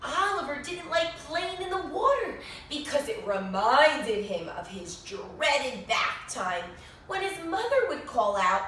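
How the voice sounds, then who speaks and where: A woman reading a picture book to young children in an expressive, sing-song way, pausing to explain things and ask questions. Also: high-pitched. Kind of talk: animated read-aloud story for young children. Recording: very echoey room, distant mic, faint noise.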